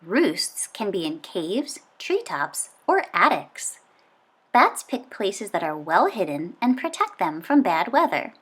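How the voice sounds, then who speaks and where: Animated woman reading aloud to children. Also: mid-range voice, takes it slowly. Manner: expressive, clearly phrased delivery for young children. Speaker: children's book reader. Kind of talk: read-aloud of a children's nonfiction book.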